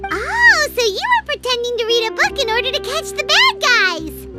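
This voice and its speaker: Squeaky, very high little fairy girl. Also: moderate pace. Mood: excited, cheerful. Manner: excitable, refers to herself in third person. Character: tiny chirpy childlike floating fairy girl companion. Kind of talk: video game cutscene acting. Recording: music playing behind the voice.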